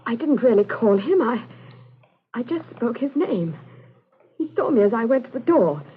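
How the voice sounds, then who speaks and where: An actress playing a frightened, distraught daughter who speaks in halting, pleading repetitions in a 1940s radio drama. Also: female voice, on the high side, very animated. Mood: shaken, halting. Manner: pleading, halting, repeats herself. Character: distraught, frightened daughter confessing to her stern father. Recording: noisy, tinny 1940s radio broadcast.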